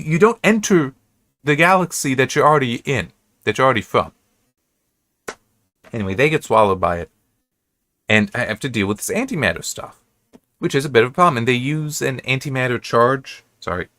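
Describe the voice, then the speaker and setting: An American man giving casual, unscripted commentary as a reviewer, halting and correcting himself as he rambles. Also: medium pitch, sharp tone, no room sound. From United States. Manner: halting, self-correcting, restarts and digresses often. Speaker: reviewer hosting an episode-by-episode analysis.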